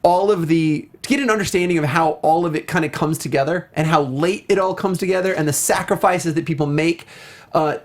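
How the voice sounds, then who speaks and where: A man's voice: a little high, a little fast. Guy hosting a casual games and movies chat show, chatty, into it, tells little stories. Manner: chatty, anecdotal, enthusiastic. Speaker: talk show co-host. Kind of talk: casual video game and film discussion.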